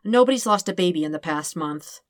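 A woman voicing a serious, measured woman who deadpans as she teases a friend in an audiobook story. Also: lowish voice, lively intonation, close to an everyday voice. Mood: matter-of-fact. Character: serious, slow-spoken woman teasing her friend. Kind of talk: acted dialogue in fan fiction audiobook.

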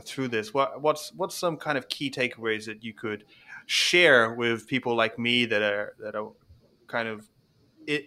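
A man's voice: mid-range voice, bright, forceful tone, clear, resonant tone. A young man hosting an interview, asking questions in a chatty, self-deprecating way. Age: young adult. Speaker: host. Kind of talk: casual interview chat.

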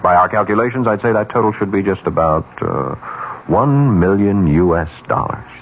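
An actor playing a smooth, calculating broker of arranged murders in a 1970s radio drama, persuasive and businesslike. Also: male voice, deep voice, lively intonation, moderate pace. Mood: quietly persuasive. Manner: businesslike, persuasive, coolly insinuating. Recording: muffled, noisy 1970s radio broadcast.

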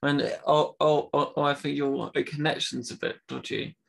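A man's voice: on the high side, flat delivery, normal speed, gravelly, a bit bright. A man who stammers, talking casually and openly with many fillers. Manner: stammers, talks openly about it. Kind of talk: casual conversation.

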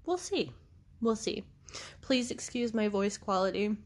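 A woman's voice: mid-range voice, lively intonation, unhurried. A woman, hosting a podcast in a casual, rambling monologue, congested from allergies and laughing often. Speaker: podcast host.

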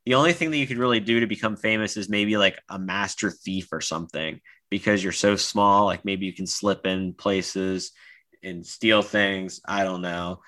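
A man's voice: low-pitched, steady tone, normal speed, sharp tone. A man co-hosting a podcast in casual chat, easygoing and hedging as he talks. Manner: easygoing, hedging with lots of "like" and restarts. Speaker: podcast co-host.

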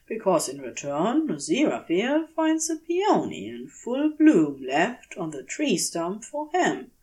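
A woman reading a scripted story aloud in an expressive, gentle, deliberate way, letting phrases end softly. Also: mid-range voice. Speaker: audiobook-style fan fiction reader.

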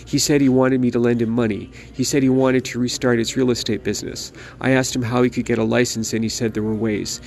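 A man voicing a domineering elderly mother who scolds her son melodramatically, in a gravelly voice. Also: mid-range voice, flat delivery, a little fast, few pauses, darkish tone, a little hiss or hum, lightly acted. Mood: aggrieved.

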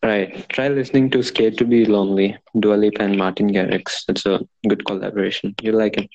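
19-year-old man, low voice, dull call audio. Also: little pitch movement, a little fast, darkish tone, slight background noise. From Dubai. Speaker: podcast co-host, engineering student. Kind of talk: casual chat over a call.

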